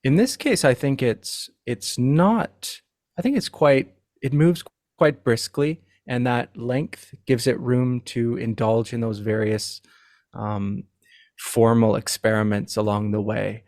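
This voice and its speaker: A man speaking as a podcast guest about literature, thoughtful and halting, often repeating words as he thinks. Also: medium pitch, darkish tone, no echo, studio quality. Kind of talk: casual literary discussion.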